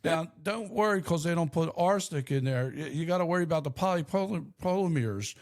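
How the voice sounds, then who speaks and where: A middle-aged man with a slightly rough voice, co-hosting a casual podcast and rambling through animated stories. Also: on the high side, a little fast. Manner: rambling storyteller, animated and laughing. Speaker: podcast co-host. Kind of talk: casual chat.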